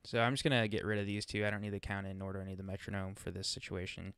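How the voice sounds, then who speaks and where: A man with a clear, fairly low voice guides listeners through software steps in a casual, chatty way. He thinks aloud as he demonstrates. The sound is close and dry, as from a USB microphone right by him. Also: little pitch movement, rapid-fire, no room sound. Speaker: tutorial presenter. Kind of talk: casual screen-recorded software walkthrough.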